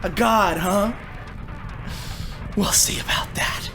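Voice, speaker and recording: A sneering, menacing cyborg woman warrior played by a man in a tabletop game. The delivery is mocking and taunting, with a streak of madness, over clear music. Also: high-pitched, slow, voice pushed up, exaggerated voice. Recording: music behind the voice.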